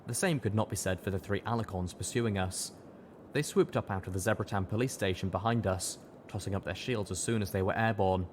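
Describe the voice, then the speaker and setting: A man reading first-person fiction aloud with steady, dramatic storytelling. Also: lowish voice, fairly quick, slight background noise. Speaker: audiobook reader.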